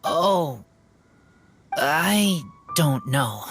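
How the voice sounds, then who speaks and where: A woman voicing a shy, earnest teenage boy raised by wolves, speaking in halting broken phrases in a video game. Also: low voice, expressive, music in the background, exaggerated voice. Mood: hesitant.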